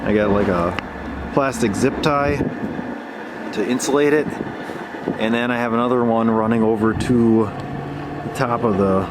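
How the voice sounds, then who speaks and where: A man's voice: medium pitch, a little slow, long pauses. Casual man, very noisy amateur recording. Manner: informal, unscripted, thinking aloud. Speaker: hobbyist demonstrating shortwave radio reception. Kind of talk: casual hands-on vlog narration. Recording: heavy background noise, amateur camera recording.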